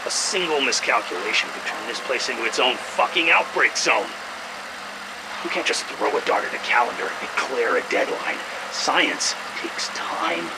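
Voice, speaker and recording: An actor playing an irritable, slippery scientist, sarcastic and foul-mouthed, in a video game audio log. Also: male voice, mid-range voice, lively intonation, moderate pace, very noisy, natural voice. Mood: exasperated, protesting. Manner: sarcastic, blustering, swearing.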